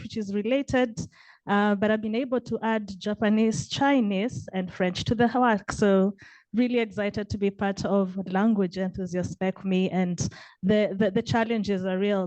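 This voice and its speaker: A woman from Kenya with a Kenyan accent, speaking up from the audience at a panel in an earnest, measured way. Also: mid-range voice, darkish tone, no room sound, poor audio. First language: Kenyan vernacular. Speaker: audience member asking a question.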